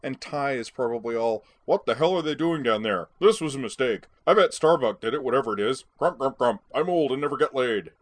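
A wry woman pilot, acted by a man, puts on a cranky old commander for a storybook. The voice is pushed up and loud, grumbling with mocking exaggeration. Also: medium pitch, lively intonation, brisk, few pauses, pitched above the performer's own voice, lightly acted.

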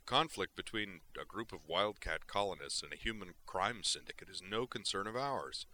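A man's voice: low voice, expressive, normal speed, sharp tone, slight background noise. Man playing a pompous, stern alien admiral. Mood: condescending. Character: pompous, callous older male alien admiral.

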